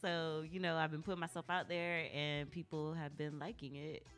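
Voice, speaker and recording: A woman's voice: deep voice, level tone, moderate pace, a bit bright, soft background music. A woman chatting casually and reflectively, hesitating as she gathers her thoughts. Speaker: podcast co-host. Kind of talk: casual chat, personal reflection.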